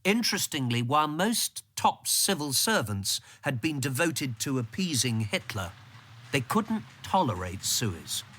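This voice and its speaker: An actor playing a reflective civil servant, narrating a radio drama in the first person, measured and articulate. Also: male voice, medium pitch, big pitch swings, sharp tone, slightly rough, exaggerated voice. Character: reflective male civil servant telling his story.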